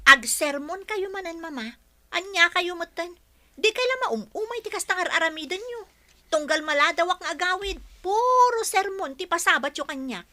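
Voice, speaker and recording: A woman's voice: very high voice, animated, normal speed, pushed and bright, heavily acted. A woman with an Ilocano accent playing a defiant, whiny schoolgirl daughter in a radio drama. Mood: defiant complaint.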